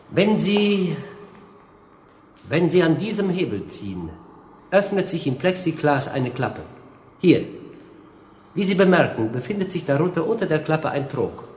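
An actor playing a smug young general-dictator in a German radio drama, gushing with oily familiarity and rambling on with jovial menace. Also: male voice, a little high, expressive, a little slow, long pauses, darkish tone, a little hiss or hum. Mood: smug, proud. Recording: roomy, echoing studio scene.

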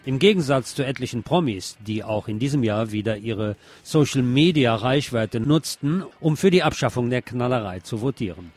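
A man's voice: mid-range voice, lively intonation, normal speed, hardly any pauses, darkish tone. Male news commentator over music. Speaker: host and presenter of a news commentary show.

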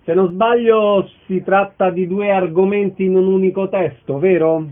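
Male radio host, fairly high pitch, concise, orderly announcing, thin band-limited audio. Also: steady tone, normal speed. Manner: concise, orderly presenter delivery. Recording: band-limited tinny sound, faint noise.

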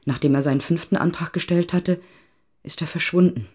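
A quiet bitter woman in a radio play, deep and husky, halting. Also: steady tone. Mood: subdued, bitter. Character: subdued, bitter woman recounting state persecution. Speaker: radio drama actor. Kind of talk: naturalistic German radio play dialogue.

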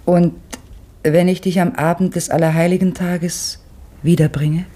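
An actress playing a calm, gentle mother, speaking in a soothing, measured way in a 1960s radio drama. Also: fairly low pitch. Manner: soothing, measured, quietly knowing.

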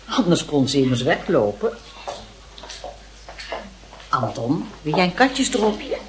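Low, animated, fussing aunt. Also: female voice, slow, noisy background, exaggerated voice. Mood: patient. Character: kindly, fussing aunt looking after her young nephew. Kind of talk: radio play dialogue.

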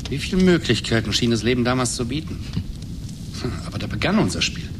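A middle-aged man of brooding, philosophical temper, played by a male actor in a 1980s radio drama. His mid-pitched voice is earnest and reflective. There is clear noise on the old recording. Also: very animated, fast talker, natural voice. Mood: brooding, wistful.

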